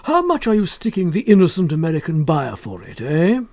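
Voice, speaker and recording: A man voicing a sardonic, haggling gentleman collector in an audiobook, with ironic, clipped remarks. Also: a little high, wildly swooping pitch, fairly quick, no room sound. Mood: ironic, dismissive. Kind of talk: audiobook character acting.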